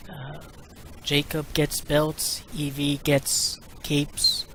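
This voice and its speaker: A man's voice: a little high, a bit bright, slight background noise. A man giving gameplay commentary on a video game, describing what he does as he plays. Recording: game music behind the voice.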